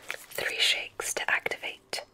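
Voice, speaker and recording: A woman whispering breathily in an ASMR roleplay as a kindly witch examiner, soft and gently reassuring. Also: takes it slowly.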